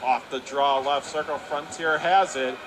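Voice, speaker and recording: A man doing radio play-by-play of a hockey game, calling fast and rising sharply into excitement on goals. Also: mid-range voice. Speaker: play-by-play announcer. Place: arena broadcast position. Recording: noisy arena broadcast.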